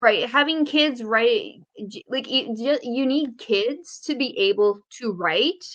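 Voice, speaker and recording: A woman talking casually as a podcast co-host, earnest and a bit halting as she argues her points. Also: fairly high pitch, fairly bright tone, highs cut off. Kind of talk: casual speculative podcast chat.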